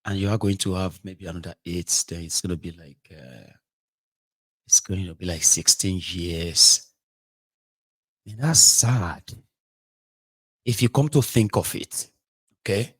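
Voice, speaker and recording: A Nigerian man in his forties giving an impassioned political monologue, emphatic and rhetorical, jumping between loud outbursts and quiet asides. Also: low voice, measured pace, long pauses. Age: 40s. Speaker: political commentator.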